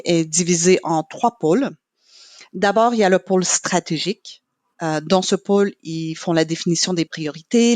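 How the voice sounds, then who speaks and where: A middle-aged woman whose first language is English, a podcast guest explaining her work in a measured way with many pauses. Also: fairly low pitch, dry recording. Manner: measured, with frequent "euh" pauses. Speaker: guest, training marketing manager. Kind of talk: podcast interview, explaining at length.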